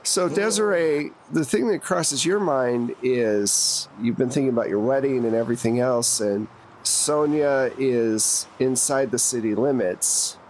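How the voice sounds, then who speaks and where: A man's voice: medium pitch, a little hiss or hum. A man, narrating conversationally as the game master of a tabletop game.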